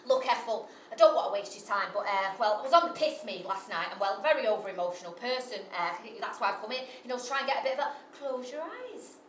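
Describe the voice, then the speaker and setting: A woman tells a comic story live, brash and sweary and quick to mock herself. Her pitch sits fairly high and moves a great deal. She is heard from far off in an echoey venue with faint room ambience. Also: animated, fairly bright tone, a little hiss or hum. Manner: brash, sweary, self-mocking, full of asides.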